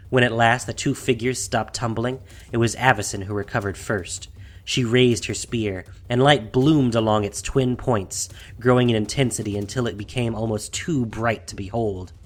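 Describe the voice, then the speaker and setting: A man reading scripted fantasy fiction as the narrator of an audio drama, in a dramatic storytelling style. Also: mid-range voice. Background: faint music.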